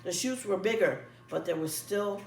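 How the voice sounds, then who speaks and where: A woman reading a children's story aloud in an expressive, animated way, pausing as if to young listeners. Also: mid-range voice. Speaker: storybook reader. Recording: echoey room, distant mic, music behind.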